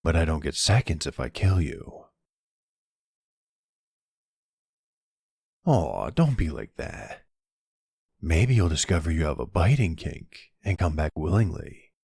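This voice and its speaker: A man's voice: extremely low pitch, big pitch swings, a little slow, gravelly, darkish tone, husky, dry recording, studio quality, exaggerated voice. A man playing a flirty, smug vampire in an intimate ASMR roleplay, with a teasing, sardonic and seductive manner. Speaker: audio roleplay voice actor.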